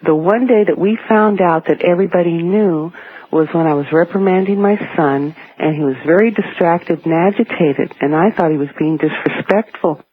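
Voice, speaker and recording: Middle-aged female radio caller, slightly husky, halting and earnest storyteller, narrow telephone sound. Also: lowish voice. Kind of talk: recounting a personal ghost story.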